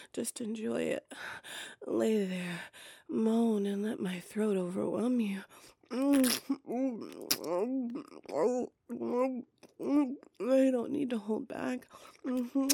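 A woman voicing a dominant, teasing adult female hellhound in an intimate audio roleplay, speaking in a breathy, often whispered voice. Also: a little high, noisy background, heavily acted. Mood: teasing, domineering. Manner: slow, sultry, domineering teasing.

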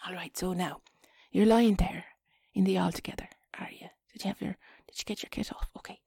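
Woman playing chatty, awkward Irish wife for intimate audio drama. Breathy, whispery, coaxing delivery, very dry recording. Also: fairly low pitch, fairly even intonation, warm tone, dry recording, exaggerated voice. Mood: nervous, teasing. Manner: halting, self-conscious, coaxing, drifting into breathy whispers. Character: flustered, chatty Irish wife talking intimately to her partner. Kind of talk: intimate erotic audio roleplay.

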